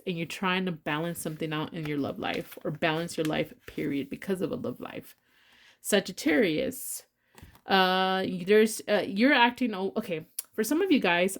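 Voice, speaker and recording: A congested woman giving a casual tarot reading, talking bluntly with rambling asides and swearing freely. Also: fairly low pitch. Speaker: tarot reader.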